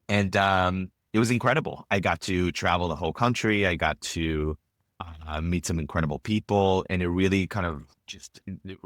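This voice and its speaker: A deep-voiced man talks through his story in loose, rambling sentences full of "um" and "you know." The sound is close and dry, with no room around it. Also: fairly bright tone, no echo. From Israel. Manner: rambling, many fillers and restarts. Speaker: podcast guest, filmmaker. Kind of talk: casual interview chat.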